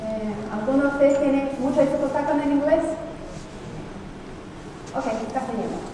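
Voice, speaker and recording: A middle-aged woman with a Portuguese-tinged Spanish accent, whose first language is not Spanish, giving an academic conference talk in a lecture hall, explaining thoughtfully with frequent pauses and restarts. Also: high-pitched, background noise. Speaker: conference speaker. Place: large lecture hall with audience. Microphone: far room recording of a hall talk. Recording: echoey hall recorded from far off.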